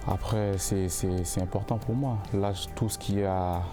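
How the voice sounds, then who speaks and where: A young male football player answering interview questions in a casual, halting way, often repeating words while he looks for the phrasing. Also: low voice, fairly even intonation, music in the background. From born in the United States, raised in Canada. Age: young adult.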